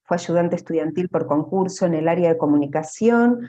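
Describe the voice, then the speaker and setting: A woman's voice: fairly low pitch, animated, dark tone. A woman moderating a seminar, reading out formal introductions of the speakers and giving instructions to the participants. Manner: reads brisk introductions, then gives practical instructions.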